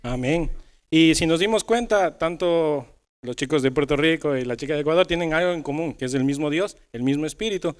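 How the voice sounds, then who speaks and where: Male podcast host for church youth, conversational, clean resonant voice, slightly high. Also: a little fast.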